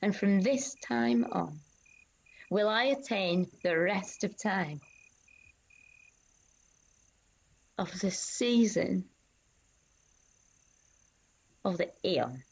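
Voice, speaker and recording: A woman acting a grieving yet resolute disciple in a dramatised ancient religious text, speaking in a hushed, deliberate way with long pauses. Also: mid-range voice, expressive, gravelly, husky, full character voice, low-quality recording. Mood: hushed, trance-like.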